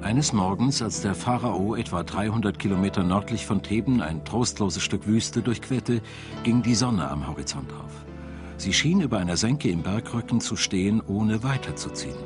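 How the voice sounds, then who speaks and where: A man reading scripted documentary narration in a measured, storytelling manner. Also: lowish voice, expressive. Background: music.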